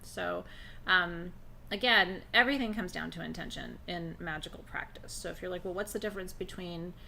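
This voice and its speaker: A middle-aged woman with a naturally low voice teaching a relaxed, conversational class on spiritual practice, pacing her words deliberately. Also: creaky, a little hiss or hum. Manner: conversational, intentional pacing, laughs often. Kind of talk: live online class on shamanic drumming.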